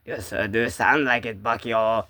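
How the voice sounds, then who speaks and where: A performer voicing a bossy, foul-mouthed bully character in an improvised comedic skit, brash, scolding and given to yelling. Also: mid-range voice, fairly even intonation, a little slow, no room sound. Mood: scornful.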